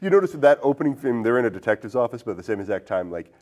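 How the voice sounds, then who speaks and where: A man's voice: mid-range voice, big pitch swings, very fast, darkish tone. A man hosting a podcast in a casual, conversational style, with an inquisitive way of talking. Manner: inquisitive, posing questions to his co-host. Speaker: film podcast host. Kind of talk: casual conversational film discussion.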